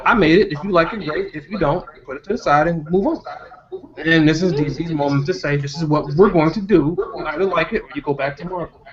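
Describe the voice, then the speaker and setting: A man hosting a casual panel discussion, giving his opinions in a conversational way. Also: fairly high pitch. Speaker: discussion host. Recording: dull lo-fi podcast audio.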